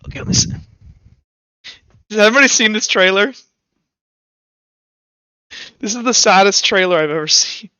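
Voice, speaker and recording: A man acting as game master, casually narrating a tabletop roleplay in a halting, informal way to his players. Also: high voice, expressive, bright, forceful tone, smooth, no room sound, highs cut off. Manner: halting, informal delivery with many "like"s.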